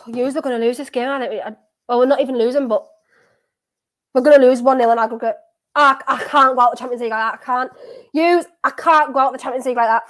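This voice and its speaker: A woman's voice: high-pitched, fast. Anxious Durham woman reacting to football. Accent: County Durham, softened. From North East England. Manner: anxious, excitable, rambling and self-repeating. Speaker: football watchalong streamer. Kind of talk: live match reaction chat.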